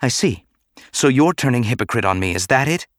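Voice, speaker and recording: A man's voice: medium pitch, expressive, a little fast, a bit bright, no echo, clean studio sound, pitched above the performer's own voice, lightly acted. A man voicing an earnest young female senator in a dramatic audiobook, with an urgent, insistent manner. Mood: biting, angry. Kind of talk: dramatic audiobook character acting.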